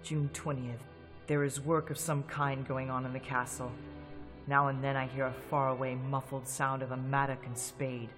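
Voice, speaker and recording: A man's voice: mid-range voice, little pitch movement, normal speed, close to an everyday voice. An actor playing a frightened young Englishman narrating his journal in a radio drama reenactment, earnest and tense. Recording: long hall reverb with music.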